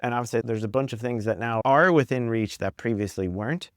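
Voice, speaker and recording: A man's voice: mid-range voice, brisk, no room sound, studio quality. A man speaking as a podcast guest, chatting casually and acting out remarks as he explains his thinking. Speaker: podcast guest, product executive. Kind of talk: casual interview conversation.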